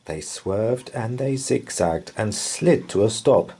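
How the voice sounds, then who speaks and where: Man, bedtime storyteller, dramatic rhythmic verse, unhurried pace, husky tone. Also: lowish voice, expressive, mellow. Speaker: storybook reader. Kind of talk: theatrical bedtime story read-aloud.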